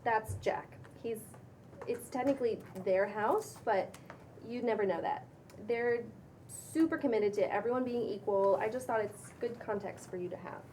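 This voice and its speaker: A woman acts a bubbly young influencer with a high, chirpy voice. She is casual and eager to placate, in a script read with faint noise in the background. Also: moderate pace, darkish tone, lightly acted, poor audio. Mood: explaining, casual. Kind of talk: table read of a pilot script.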